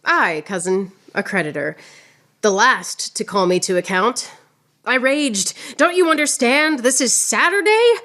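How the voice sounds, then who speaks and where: A proud, dying swordswoman poet in a radio play. Her voice sits fairly high and she speaks very slowly. The delivery is lofty and theatrical, full of wit and wounded defiance. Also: lively intonation, pushed and bright. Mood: rueful bravado.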